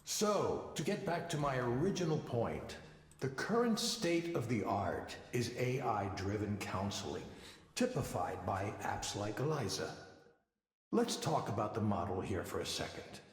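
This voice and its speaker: Measured psychologist lecturing in an echoing hall. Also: male voice, on the low side, sing-song. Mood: measured, instructive. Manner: measured, didactic. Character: confident male psychologist, former tech lead, lecturing an audience. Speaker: conference speaker. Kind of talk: scripted conference talk in a visual novel. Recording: echoey hall reverb, faint music behind.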